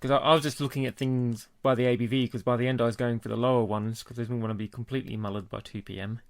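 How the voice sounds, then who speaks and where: Gravelly-voiced man chatting on a podcast. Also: mid-range voice, quick pace, no room sound. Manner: chatty, relaxed, laughs easily. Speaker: podcast co-host. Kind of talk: casual chat.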